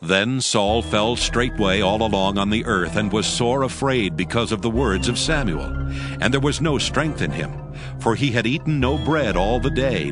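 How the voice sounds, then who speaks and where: A man narrating scripture from a script in a solemn style. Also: on the low side. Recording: music bed behind the voice.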